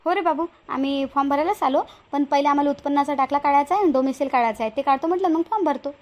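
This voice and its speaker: Woman voicing a cautious village leader lady, high voice, quick, rural Vidarbha Marathi accent, homely, questioning, cartoon dialogue. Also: close to an everyday voice. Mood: wary.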